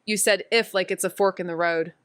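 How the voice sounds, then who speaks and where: A woman's voice: on the low side, steady tone, brisk, clear, resonant tone, dry recording, clean studio sound, natural voice. An actress playing a sarcastic, playful but secretly tender young woman in a naturalistic audio drama, with a flippant, casual delivery. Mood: sardonic.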